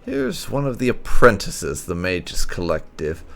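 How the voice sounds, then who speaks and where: A man with a deep, gravelly voice commenting casually on a video game. He speaks slowly but with hugely varied intonation. His manner is dry and blunt. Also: wildly swooping pitch, a bit bright. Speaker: game streamer, player. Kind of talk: casual let's play commentary with role-play.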